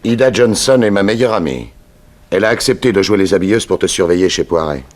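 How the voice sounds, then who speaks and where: A private detective with a low voice. He is calm and in command, speaking in a brisk, businesslike way in the manner of a 1960s French radio crime serial. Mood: businesslike, assured.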